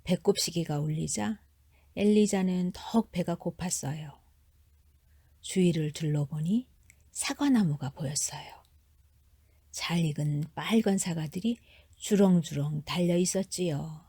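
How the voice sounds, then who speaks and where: A woman with a soft, mellow, slightly low voice narrates a children's fairy tale. She reads carefully and expressively in a dry, close recording. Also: unhurried, slightly rough, no room sound. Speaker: storyteller.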